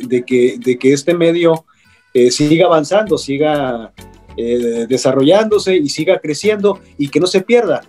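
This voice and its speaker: A middle-aged man with a Mexican Spanish accent, a radio broadcaster speaking on a panel, pausing often with fillers. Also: fairly high pitch, darkish tone. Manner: hesitant, many fillers. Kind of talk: panel conversation.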